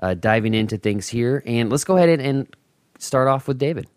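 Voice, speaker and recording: A man's voice: medium pitch, animated, fairly quick, darkish tone, clean tone, no echo, studio quality. A man hosting a podcast interview in a casual, conversational style. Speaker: podcast host.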